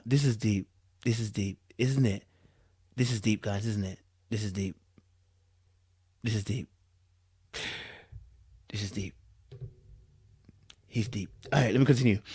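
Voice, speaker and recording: A man's voice: mid-range voice, no echo, studio quality. A British man doing casual online commentary, reading aloud dramatically and repeating phrases for emphasis in a teasing, incredulous way.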